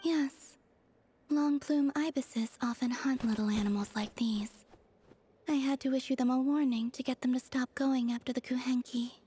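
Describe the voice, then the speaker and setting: A woman voicing a serene, gentle young moon goddess in a video game, speaking softly with composed, formal phrasing. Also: high voice, fairly even intonation, moderate pace, slight background noise, natural voice. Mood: gently cautioning. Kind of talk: video game character dialogue.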